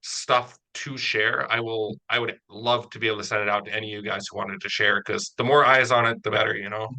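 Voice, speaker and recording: A man's voice: lowish voice, fairly even intonation, brisk, pushed and bright, rough recording. A man chatting casually as he interviews someone, speaking as a writer and producer of audio drama.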